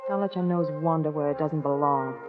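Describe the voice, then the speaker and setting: Actress as a tough, hard-boiled gun moll. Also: female voice, low-pitched, brisk, natural voice. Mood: menacing. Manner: hard-boiled, clipped, slangy. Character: tough, threatening female swindler with a pistol. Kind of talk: 1940s radio crime drama acting. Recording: dull vintage radio recording, music behind.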